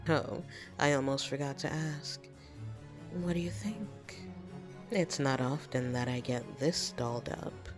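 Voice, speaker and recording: A woman playing a fond, teasing, possessive vampire in an intimate ASMR roleplay, speaking softly and often slipping into whispers. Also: low voice. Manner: soft, breathy, teasing, often dropping into whispers. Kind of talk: intimate ASMR roleplay, scripted monologue to listener. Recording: music behind the voice.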